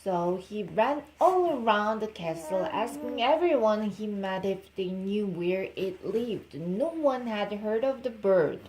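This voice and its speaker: A woman's voice: mid-range voice, lively intonation, slow, hardly any pauses, a little mellow, low-quality recording. A young woman, a native Korean speaker with a Korean accent, reading a storybook aloud to her baby and chatting to the child in between. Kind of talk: storybook read-aloud with informal baby talk.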